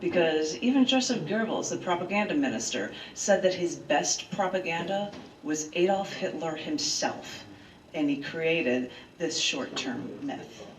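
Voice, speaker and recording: A woman's voice: deep voice, expressive, unhurried. A woman poet hosting an open mic, reading aloud live with dramatic, rhetorical delivery and asides to listeners. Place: large bookstore, indoors, live event. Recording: roomy live room, distant mic, clear noise.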